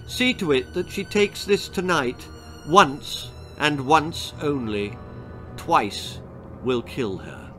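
A grim, impatient doctor from a storybook, as a man acts him out. He is curt and flat, and mutters his disdain. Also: on the high side, takes it slowly, faint music behind. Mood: stern.

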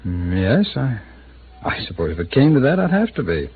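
A man's voice: medium pitch, huge pitch swings, moderate pace, breathy edge, exaggerated voice. An actor playing a guarded, evasive male city attorney who hesitates and hints, in a 1950s radio drama. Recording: tinny lo-fi old radio broadcast with hiss.

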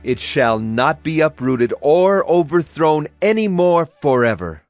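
An actor playing a solemn Hebrew prophet, proclaiming oracles earnestly in a dramatized scripture reading. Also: male voice, a little high, sing-song, unhurried, no room sound. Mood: solemn proclamation. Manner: declamatory, earnest. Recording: music behind the voice.

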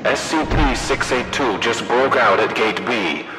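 A commanding military officer announces urgent orders. The voice is medium in pitch with a long reverberant tail. Music sounds clearly behind the radio announcement. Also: male voice, measured pace. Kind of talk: public emergency announcement. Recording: reverberant radio announcement over loud background.

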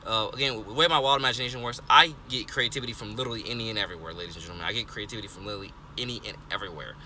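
A man's voice: on the low side, very fast, pushed and bright, slight background noise. A twenty-year-old man talking earnestly to his phone from inside a parked car, rambling with lots of fillers and restarts. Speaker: vlogger. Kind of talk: rambling casual monologue. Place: parked car, indoors of vehicle. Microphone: phone held close, selfie framing.

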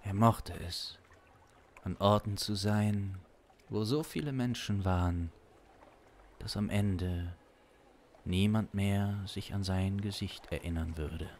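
Guy with a really low voice telling a crime story super slow, suspenseful, music playing behind. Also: a little mellow, a little hiss or hum. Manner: deliberate, suspenseful, with long pauses. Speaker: improvising audio-drama narrator. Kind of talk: improvised crime story narration. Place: small studio room. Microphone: close studio condenser mic. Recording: music under the voice. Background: instrumental music.

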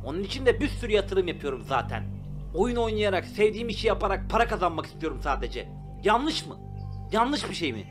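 A young cartoon internet star with a slightly high voice. He is preachy, self-righteous and aggrieved. Music is clearly heard behind him. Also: big pitch swings, a little fast. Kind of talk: animated comedy voice acting.

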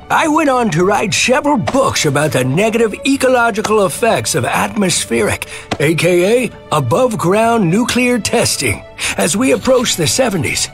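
A man's voice: sits high, vocal fry, heavily acted. A man voicing a proud crusading scientist in a scripted comic-book documentary. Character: proud crusading male scientist, activist storyteller.

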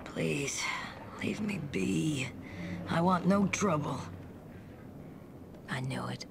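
A woman voicing a grieving, withdrawn townswoman in a fantasy video game, half whispering in a breathy, creaky voice. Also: sits low, slow pace, heavily acted. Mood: sorrowful. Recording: noisy game capture with ambience.

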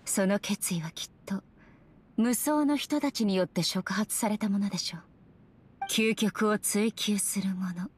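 A stern warrior ruler from a Japanese game, performed by a woman. Her voice sits at a middle pitch for a woman and is formal and solemn. She measures out each phrase with grave authority. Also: unhurried, a little hiss or hum, lightly acted. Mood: solemn, commanding. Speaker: video game voice actress. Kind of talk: Japanese game character voice acting.

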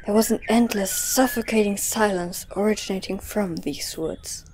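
A woman's voice: mid-range voice, fairly even intonation, normal speed, darkish tone, a little hiss or hum, poor audio. A woman giving a scripted, dramatic narration as the storyteller of an audio drama.

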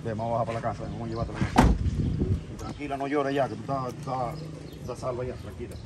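A man's voice: mid-range voice, fast talker, very noisy, natural voice. A man playing a young man who defends a mistreated girl and comforts her. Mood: soothing. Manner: excited, scolding, then consoling. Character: young man stepping in to rescue and help the girl. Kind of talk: amateur street drama acting. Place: outdoors on a street.

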